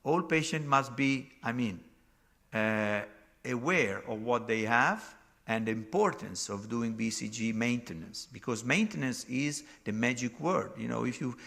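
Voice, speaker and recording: A man's voice: medium pitch, gravelly, pushed and bright. A man with a Portuguese accent, a non-native speaker, answering questions at a medical conference with restarts and emphatic phrasing. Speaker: session chair and speaker.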